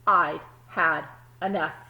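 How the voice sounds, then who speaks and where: A woman voicing an earnest, dutiful little egg in a children's storybook, telling its own tale with drawn-out emphasis. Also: low voice, steady tone, phone-quality sound, pitched below the performer's own voice. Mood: strained. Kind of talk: first-person children's storybook narration.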